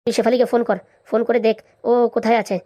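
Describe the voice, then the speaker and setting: A woman acts out a bullying policeman in a comic cartoon, with a high voice and a dry sound. He fires off brusque, threatening questions at great speed. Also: flat delivery, racing, warm tone, dry recording, natural voice. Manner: brusque, interrogating.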